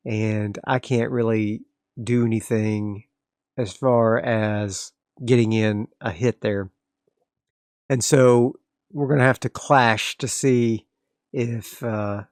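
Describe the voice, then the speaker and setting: A man with a medium-pitched, gravelly voice plays a tabletop role-playing game by himself. He talks through his decisions in a casual way, moving between narration and rules. The sound is close and dry, with little room around the voice. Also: no room sound. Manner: casual, narrates moves and reads rules aloud. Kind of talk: solo tabletop actual-play commentary, thinking aloud.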